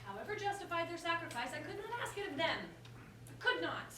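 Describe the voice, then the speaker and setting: A woman's voice: extremely high pitch, expressive, fast, sharp tone, heavily acted. A woman playing a proud, witty common woman in a staged verse comedy, with emphatic theatrical delivery. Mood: defiant. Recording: roomy hall, distant mic, clear noise.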